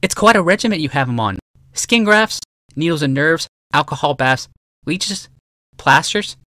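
A man's voice: a little high, lively intonation, moderate pace, bright, forceful tone, dry recording, studio quality, voice pushed up. A male narrator voicing an idealistic noblewoman who questions and pleads. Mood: distressed. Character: troubled, defiant noblewoman, idealistic architect.

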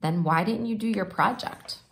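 A woman's voice: medium pitch, normal speed, gravelly. A woman voicing a concerned storybook mother who asks questions with a reassuring lilt. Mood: puzzled. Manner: inquiring, bright upward lilt.

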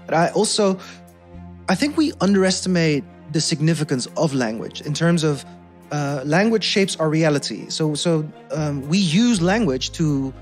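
A man's voice: a little high, animated, normal speed, soft background music. A young man with a Dutch accent, talking casually as a podcast guest, thoughtful, restarting sentences and laughing easily. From Netherlands. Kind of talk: casual conversational interview.

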